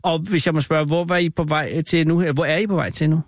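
Creaky-voiced man, casual interviewer. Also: a little high, fairly even intonation, no echo, studio quality. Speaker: interviewer, show host. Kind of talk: casual, curious interview chat.

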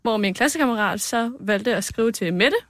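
A woman's voice: mid-range voice, a bit bright, dry recording, studio quality. A young woman with a cold, talking casually as an interview guest. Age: young adult. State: has a cold. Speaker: interview guest, styling student. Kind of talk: casual chat.

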